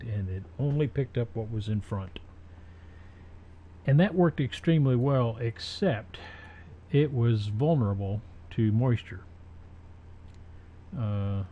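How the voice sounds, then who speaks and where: Low, mellow, halting man explaining informally. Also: muffled recording, a little hiss or hum. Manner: rambling, halting, trailing pauses. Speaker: presenter of an audio tutorial. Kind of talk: informal explanatory talk with personal anecdotes.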